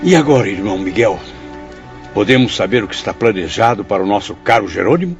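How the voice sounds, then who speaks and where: An actor playing a respectful, inquisitive spirit disciple in a radio drama, formal and deferential. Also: male voice, fairly low pitch, expressive, quick pace, highs cut off, over music. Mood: respectful curiosity.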